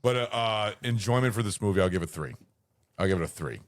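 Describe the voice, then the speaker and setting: Low-voiced hesitant man reviewing a movie. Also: expressive, fast, no room sound, clean studio sound. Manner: hesitant, repeats words often. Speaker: podcast co-host. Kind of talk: casual movie review chat.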